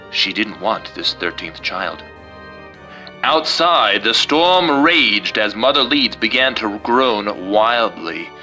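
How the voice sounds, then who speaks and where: A male storyteller with a very expressive, lively pitch and a clear forward brightness. He hosts casually and reads spooky tales with theatrical suspense, with faint music behind him. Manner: theatrical, suspenseful delivery when reading. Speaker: host and storyteller. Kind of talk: casual chat and dramatic storybook reading.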